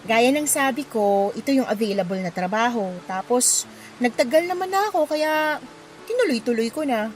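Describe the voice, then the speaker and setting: A woman's voice: a little high, animated, moderate pace, close to an everyday voice. A woman playing a shy, giggly young hotel receptionist in a romantic audio drama, with background noise. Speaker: voice actress in a story dramatization. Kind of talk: acted romantic radio drama dialogue.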